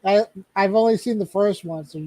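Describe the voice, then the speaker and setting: Male streamer host, high voice, dry close sound, jokey and quick to laugh. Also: dark tone, dry recording, rough recording. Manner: joking, laughs often, stumbles and restarts. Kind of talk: casual livestream chat.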